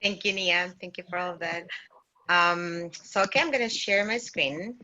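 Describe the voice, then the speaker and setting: A middle-aged woman with a Chilean Spanish accent, halting as she searches for words while presenting informally to an online workshop over a video call. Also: lowish voice, pushed and bright. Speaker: workshop facilitator, cooperative development consultant. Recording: muffled, lo-fi video call audio.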